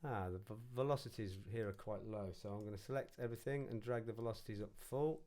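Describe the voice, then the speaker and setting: A man's voice: lowish voice, fast, warm tone. A man narrating an informal music production tutorial, casually thinking aloud as he works.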